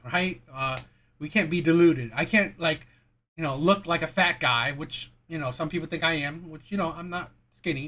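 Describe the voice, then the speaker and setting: Man, high voice, casual opinionated commentary, rambling, repetitive, video host. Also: fairly bright tone. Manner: rambling, repetitive, rhetorical questions. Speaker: video host reacting to dating clips.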